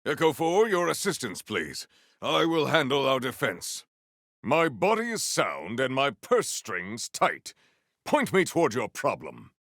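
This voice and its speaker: An actor playing a solemn, valiant warrior hero in a video game, speaking in formal, archaic, grandiose phrases. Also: male voice, mid-range voice, huge pitch swings, measured pace, a bit bright, dry recording, studio quality, full character voice. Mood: resolute, courteous. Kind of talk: theatrical video game character lines.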